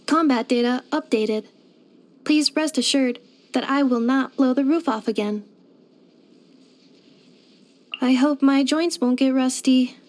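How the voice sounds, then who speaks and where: A woman's voice: high-pitched, moderate pace, a little mellow, lightly acted. A woman voicing a polite, helpful robot maid companion in a video game, speaking in a formal, precise, earnest way. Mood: matter-of-fact, helpful. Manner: formal, precise, clipped system-report phrasing. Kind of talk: scripted video game character voice lines.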